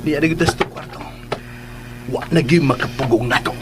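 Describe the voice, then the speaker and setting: An actor with a Cebuano accent playing a jokey, amorous husband in a radio drama. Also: male voice, a little high, a little fast, natural voice. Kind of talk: Filipino radio drama acting. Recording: loud vehicle-like noise throughout.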